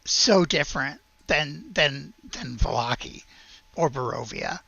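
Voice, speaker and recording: Game master, bright forceful voice, halting casual narration, close dry sound. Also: mid-range voice, lively intonation, gravelly, husky, no room sound, dull audio. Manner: halting, conversational.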